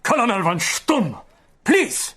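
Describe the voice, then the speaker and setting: An actor playing a fussy, cautious German official in a radio drama, prim and reproving. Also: male voice, high-pitched, wildly swooping pitch, slow pace, sharp tone, breathy edge, exaggerated voice.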